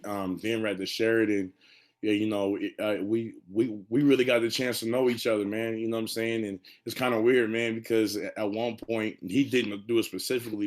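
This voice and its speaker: A man speaking unscripted on a panel, reflecting haltingly and often repeating and rephrasing his words. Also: lowish voice, darkish tone. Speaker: panel participant and storyteller.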